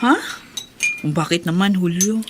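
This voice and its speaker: An actress playing a kind, chatty and principled schoolteacher wife in a radio drama, speaking frankly and encouragingly. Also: female voice, fairly low pitch, measured pace, background noise, lightly acted. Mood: firm, persuasive. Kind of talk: Filipino radio drama acting.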